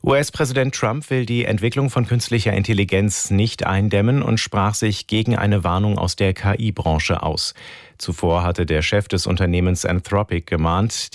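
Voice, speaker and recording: Deep voiced guy reading headlines, dry and neutral. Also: no echo. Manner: crisp, neutral delivery. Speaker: newsreader. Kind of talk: scripted news bulletin.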